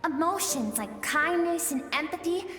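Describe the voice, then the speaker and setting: Earnest young game heroine, gentle, high-pitched, pleading, reverberant, music underneath. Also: female voice, expressive. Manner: earnest, measured, dramatic delivery. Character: gentle young woman, suppressed emotional self, earnest and pleading. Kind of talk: scripted video game voice acting. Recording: echoey long reverb, music behind.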